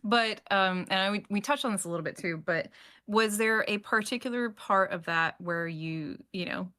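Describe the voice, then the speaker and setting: Female podcast host, bright tone, casual and gushing, prone to acted asides. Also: medium pitch, normal speed. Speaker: host of a fiction podcast discussion. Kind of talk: casual panel chat.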